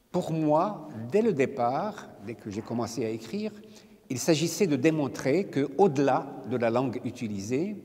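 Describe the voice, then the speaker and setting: An older man from Morocco, not a native French speaker, reading a prepared lecture as a poet in a measured, solemn way. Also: mid-range voice, warm tone. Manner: measured, solemn, deliberate phrasing. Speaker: poet giving a talk. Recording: reverberant hall, from a distance.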